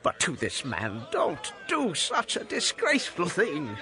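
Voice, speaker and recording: An actor playing a hospitable old farmer with anxious pleading in him, in a dramatized scripture reading. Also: male voice, on the high side, huge pitch swings, a little slow, sharp tone, heavily acted. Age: older. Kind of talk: dramatized scripture audio acting.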